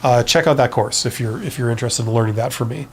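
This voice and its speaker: A man's voice: mid-range voice, fast, a little hiss or hum. A man hosting a casual live stream, giving frank, conversational advice and often checking in with the listener as he goes. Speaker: live stream host, career advisor and former CEO.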